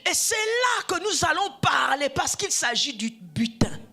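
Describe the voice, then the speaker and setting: A woman whose first language is Swahili, preaching a sermon, dropping to hushed whispers and then rising to shouts, repeating phrases for emphasis. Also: high voice, very animated, a little slow, faint music behind. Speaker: preacher, addressed as apostle.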